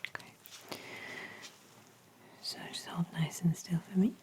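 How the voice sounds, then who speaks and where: A woman doing a soft-spoken ASMR roleplay as an ear-care practitioner in a breathy whisper, gentle, soothing and unhurried. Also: on the low side.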